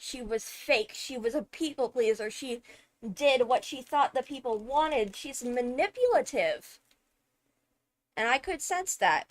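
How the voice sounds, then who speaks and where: High-voiced woman with slow, dramatic delivery. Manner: dramatic, deliberate phrasing with emphatic pauses. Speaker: video creator analysing a game character. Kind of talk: enthusiastic fan commentary, thinking aloud.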